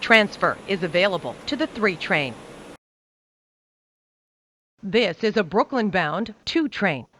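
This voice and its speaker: A woman giving recorded train announcements in a measured, formulaic announcer delivery. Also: mid-range voice, big pitch swings, a bit bright, a little hiss or hum. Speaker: transit announcer.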